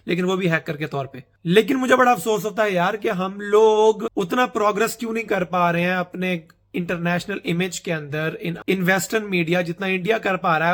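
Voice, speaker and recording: A man's voice: sits high, a bit bright. A young man from Pakistan with a Pakistani Urdu accent, talking to camera in a casual, earnest, rambling monologue that mixes Urdu and English. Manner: earnest, rambling, self-mocking. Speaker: vlogger.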